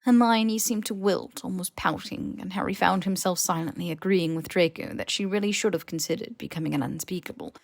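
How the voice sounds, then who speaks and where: A woman's voice: fairly low pitch, a little fast, gravelly, few pauses, no echo. A woman reading scripted fiction as an audiobook narrator.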